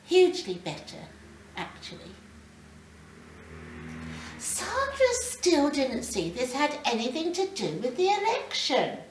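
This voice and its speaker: Woman, live story reading, dramatic pauses, lively high voice, distant mic with faint noise. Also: reverberant. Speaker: reader of a short story. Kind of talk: expressive live reading of literary fiction. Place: library reading event, indoors.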